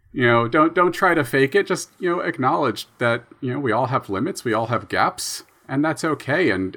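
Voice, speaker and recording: A man chatting casually as a podcast guest about sound design, animated and laughing between phrases. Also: a little high. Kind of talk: casual chat.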